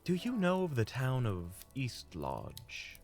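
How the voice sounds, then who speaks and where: A man playing a courteous, smooth-talking monster hunter in a fantasy audio drama, with formal, flowery politeness. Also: mid-range voice, huge pitch swings, takes it slowly, a little mellow, soft background music, heavily acted. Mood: courteous, persuasive. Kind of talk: fantasy audio roleplay acting.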